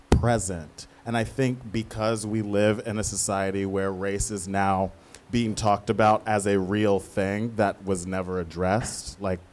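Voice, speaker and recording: A man with a fairly low voice speaks as a guest in an interview. He is candid and reflective, working out his thoughts as he goes and adding emphatic asides in a conversational way. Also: a little mellow, a little hiss or hum. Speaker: interviewed playwright.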